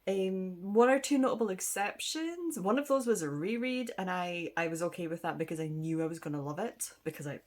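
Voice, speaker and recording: A woman's voice: fairly low pitch, animated, brisk, hardly any pauses, a little mellow. A woman rambling casually to camera about her reading, sniffly from a cold, chatty and self-deprecating with frequent ums and laughs. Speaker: book vlogger. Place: indoors at home.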